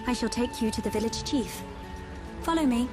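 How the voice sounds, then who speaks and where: A woman's voice: a little high, normal speed, over music, close to an everyday voice. A woman with a posh British accent playing a proud, formal young noblewoman in a video game. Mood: polite, composed. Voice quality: posh diction. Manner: formal, stiff, archaic courtesy. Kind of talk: video game cutscene acting.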